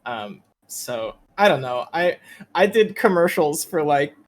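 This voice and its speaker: A man's voice: fairly high pitch, expressive, a little slow. A man who works as a voice actor, chatting casually and hesitantly on a panel, rambling a little with informal language. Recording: noisy convention stream audio.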